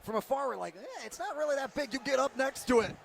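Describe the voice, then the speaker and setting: A man's voice: very high voice, animated, fast talker, vocal fry, a bit bright. A man working as a radio color commentator, analysing football plays live in loose, conversational sentences. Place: football stadium broadcast position. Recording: faint stadium background noise.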